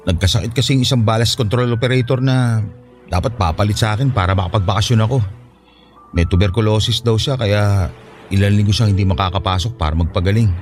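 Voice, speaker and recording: A man's voice: on the low side, fast, faint music behind, close to an everyday voice. A man playing a Filipino ship worker who misses his family, speaking sincerely and emotively in an audio drama. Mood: dejected. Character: downhearted, grateful male Filipino ship worker missing family.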